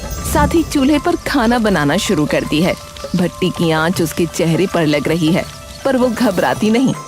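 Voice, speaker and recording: A woman's voice: fairly low pitch, sing-song, unhurried, music in the background. A woman narrating a scripted cartoon story.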